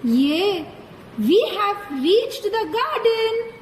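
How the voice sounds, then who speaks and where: A woman's voice: very high voice, sing-song, very slow, full character voice. A woman voicing an eager, curious young child in a children's puppet show. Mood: excited. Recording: echoey hall, background noise.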